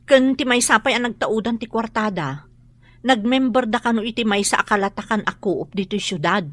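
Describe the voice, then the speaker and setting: A sharp-tongued boarding-house busybody for an Ilocano radio drama. Her voice is bright and forceful, recorded close and dry. She has an Ilocano accent and speaks with emphatic expression. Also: fairly high pitch, no echo. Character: sharp-tongued, nosy woman boarder, later remorseful.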